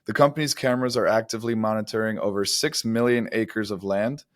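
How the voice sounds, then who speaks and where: A man's voice: on the low side, fairly quick, no room sound, studio quality. A man co-hosting a podcast, explaining startup news in an articulate, semi-scripted way. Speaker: podcast co-host.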